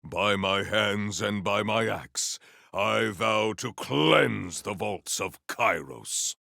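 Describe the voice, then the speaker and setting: An actor playing a noble, earnest warrior hero in a video game, speaking in a pompous, formal and grandiose way. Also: male voice, lowish voice, animated, takes it slowly, gravelly, sharp tone, no room sound, clean studio sound, exaggerated voice. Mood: fierce, battle-ready. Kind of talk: video game character voice acting.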